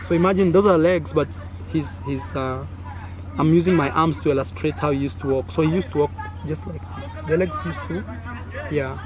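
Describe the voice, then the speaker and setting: A young man with a Kenyan accent, Swahili his first language, telling a childhood story to camera in a casual, animated way, acting out sounds and gestures. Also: fairly high pitch, moderate pace. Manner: animated, acts out sounds and gestures with frequent fillers. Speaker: content creator. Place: outdoors in a village. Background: faint village noise.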